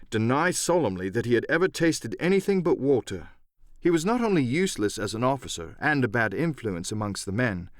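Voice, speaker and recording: A man's voice: mid-range voice, no room sound, studio quality. A man narrating an adventure audiobook as a scripted dramatic reading with a theatrical storyteller's delivery.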